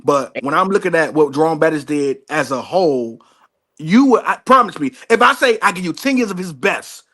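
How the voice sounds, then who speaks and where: A man's voice: a little high, lively intonation, a little fast, sharp tone. A man in his thirties co-hosting a casual sports debate, emphatic and repeating his points for stress. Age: 30s. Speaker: podcast co-host.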